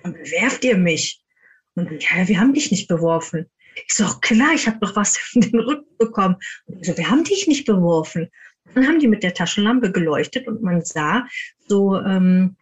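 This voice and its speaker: A woman's voice: medium pitch, lively intonation, moderate pace, highs cut off. A woman speaking as a guest paranormal investigator, casually telling stories and acting out quoted dialogue. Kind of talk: casual anecdotal storytelling.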